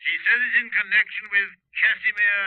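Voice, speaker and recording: A tinny phone line on an old radio show carries a police desk officer. His voice is high and dry of any room sound. He is formal, brisk and deferential. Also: flat delivery, dry recording. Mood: dutiful. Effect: telephone filter. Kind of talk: 1950s radio crime drama.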